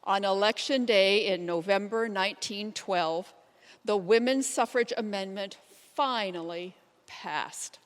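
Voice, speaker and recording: Readers theater role: determined pioneer suffragist, played by a woman, measured monologue, mid-range voice ringing in a hall. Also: mid-range voice, lightly acted. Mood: proud, reflective. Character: elderly pioneer suffragist, determined and plain-spoken, recounting her life. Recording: reverberant hall sound.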